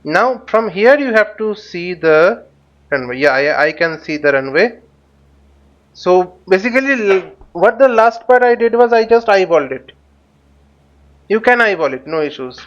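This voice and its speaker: A man hosting a flight simulator tutorial, thinking aloud in short, halting phrases as he casually walks through what he is doing. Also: high-pitched, animated, a bit bright. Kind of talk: casual spoken walkthrough while flying a simulator. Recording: dull, lo-fi microphone sound.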